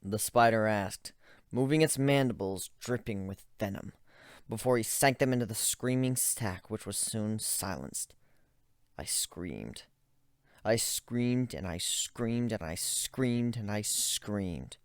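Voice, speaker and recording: A man's voice: fairly low pitch, lively intonation, slow, smooth, no room sound. A man giving a dramatic first-person reading of a story as its narrator, close to the microphone.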